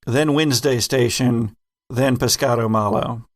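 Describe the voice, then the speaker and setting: Thoughtful man, halting and self-correcting. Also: medium pitch, steady tone. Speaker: game master and podcast host. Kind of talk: casual reflective group discussion.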